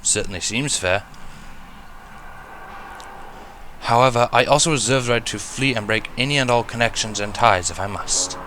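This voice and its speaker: A man's voice: mid-range voice, brisk, bright, forceful tone, slight background noise, natural voice. A man playing a paranoid, battle-scarred wanderer, speaking in a blunt, defensive, intense way. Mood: guarded.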